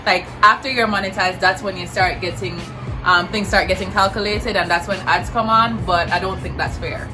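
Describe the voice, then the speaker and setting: A woman's voice: medium pitch, fairly even intonation, normal speed, over music. A young woman from Jamaica with a Jamaican accent, chatting casually to camera as a vlogger and repeating words for emphasis. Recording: roomy echo.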